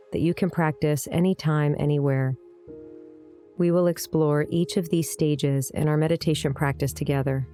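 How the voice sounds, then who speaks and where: A woman leading a guided meditation in a gentle, soothing, unhurried way, with deliberate pauses. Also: sits low. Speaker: meditation guide, yoga teacher. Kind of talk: guided meditation, scripted narration. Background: soft instrumental music.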